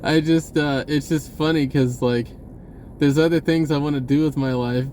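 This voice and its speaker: A man talking casually and off the cuff to viewers, halting and often repeating his words. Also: fairly high pitch, fairly even intonation, darkish tone, slight background noise. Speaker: spiritual video blogger. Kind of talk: casual unscripted monologue to viewers.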